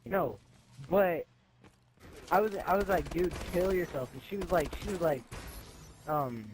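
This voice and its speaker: Teen boy, high-pitched, slangy storyteller who voices both sides of every exchange, on a noisy gaming mic with gunfire behind. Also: measured pace. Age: teenager, high school student. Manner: animated, acts out both sides of conversations, slangy. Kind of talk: casual anecdote telling among friends.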